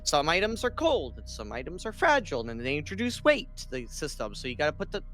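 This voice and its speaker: A woman's voice: deep voice, sing-song, fast talker, a bit bright, soft background music. A woman VTuber host chatting casually on a game stream, scattered and full of ums. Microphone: streaming microphone.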